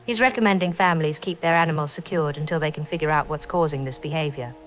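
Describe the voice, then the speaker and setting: An actress playing a calm, watchful radio operator giving measured, procedural reports in an audio drama. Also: female voice, low voice, faint music behind, natural voice, low-quality recording. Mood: grave.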